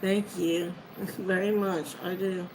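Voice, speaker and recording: A woman's voice: on the low side, little pitch movement, slow, a little mellow, slight background noise, poor audio. A woman chatting casually to viewers in an informal, meandering way, often trailing off mid-thought. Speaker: reader of books for listeners. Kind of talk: casual rambling chat to viewers.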